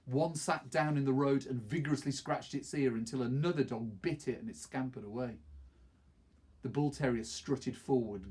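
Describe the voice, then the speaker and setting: A middle-aged man with a husky voice, reading a novel aloud expressively. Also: mid-range voice, a little mellow. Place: small wood-panelled study, indoors.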